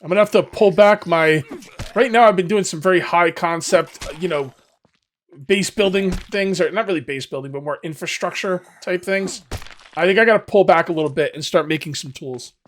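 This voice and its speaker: A man with a hoarse, fading voice, casually commenting on his survival game as he plays and rambling to his viewers. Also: sits high, normal speed, a little hiss or hum. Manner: rambling, self-correcting, talks to viewers. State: losing his voice. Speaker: gaming video host. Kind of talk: casual let's-play commentary.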